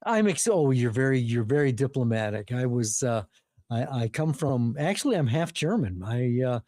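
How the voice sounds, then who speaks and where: An older man speaks with a dark, mellow tone in an easygoing conversation. He is self-deprecating and often restarts his sentences with small hesitations. The sound is dry, with no room echo. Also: mid-range voice, animated, moderate pace. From Wisconsin, USA. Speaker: guest, retired journalist and writing coach. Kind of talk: relaxed interview conversation.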